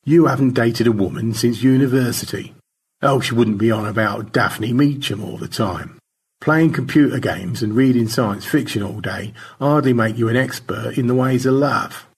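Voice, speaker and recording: A man's voice: mid-range voice, moderate pace, husky. A man playing a lovestruck, prim astronaut in a storybook, earnest and wistful. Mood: defensive.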